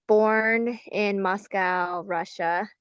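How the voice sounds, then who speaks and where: Smooth-voiced young American woman, dry close sound. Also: medium pitch, steady tone, no room sound, highs cut off. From United States, Southern Maryland from age four. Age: young adult. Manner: halting, informal, filler-heavy. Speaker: podcast guest. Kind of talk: casual personal interview.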